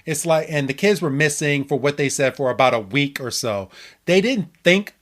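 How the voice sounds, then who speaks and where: A man's voice: a little high, animated, moderate pace, fairly bright tone. Stammering man recapping on a podcast. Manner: stammering, repeats words as he goes. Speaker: podcast host. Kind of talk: casual chat, episode recap.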